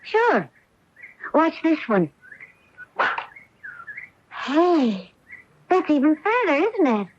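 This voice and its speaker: An actress playing a sweet, self-assured and determined young wife in a radio mystery drama. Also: female voice, high voice, big pitch swings, drawn-out words, pauses often, a little hiss or hum, full character voice. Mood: playful, candid. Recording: dull lo-fi AM radio broadcast.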